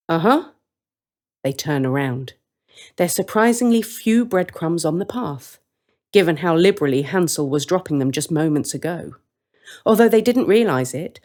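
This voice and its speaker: A woman's voice: fairly low pitch, a little mellow. A woman narrating a scripted story in a wry manner, speaking directly to the listener.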